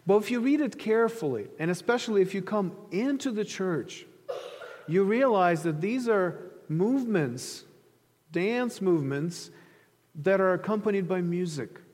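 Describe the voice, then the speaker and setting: A male pastor from Ukraine with a rather high voice delivers a sermon in a conversational way, explaining through stories and illustrations. His words carry the long reverb of a hall. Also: animated, normal speed, darkish tone. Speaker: pastor preaching to a congregation. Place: large reverberant room, preaching to an audience.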